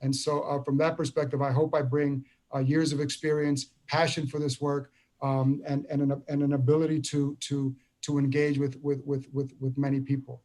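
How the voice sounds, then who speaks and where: Halting, earnest man in his fifties. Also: medium pitch, completely flat delivery, dark tone. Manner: halting, many repeated words and restarts. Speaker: school superintendent. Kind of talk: public meeting remarks.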